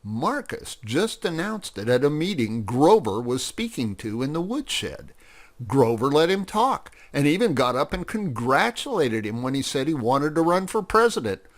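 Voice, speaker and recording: A man's voice: fairly high pitch, sing-song, moderate pace, voice pushed up. A man voicing an earnest, suspicious male fox in a story, confiding news in a folksy way. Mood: puzzled.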